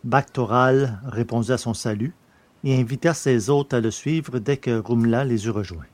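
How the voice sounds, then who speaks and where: A man narrating a science-fiction story from a script in a measured, literary way. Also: medium pitch, darkish tone, slight background noise.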